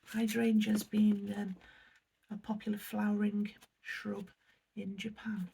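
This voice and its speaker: A woman's voice: medium pitch, level tone, slow pace, gravelly, mellow, husky. An English woman chatting casually as she unboxes a snack box, reading the product blurbs aloud and apologising for her pronunciation. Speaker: unboxing vlogger. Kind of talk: casual chat while unboxing.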